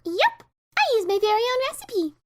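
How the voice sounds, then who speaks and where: A woman voicing a bubbly, hyper young cartoon pony with a squeaky, perky, singsong delivery in a dramatic reading. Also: very animated, normal speed. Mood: chirpy, proud. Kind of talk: fan-fiction dramatic reading.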